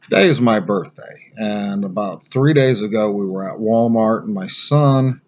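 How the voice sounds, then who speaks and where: A man's voice: fairly low pitch, a little slow, a little mellow, dull audio. An American man giving a casual, unscripted gear review as a survival instructor, plain-spoken and rambling.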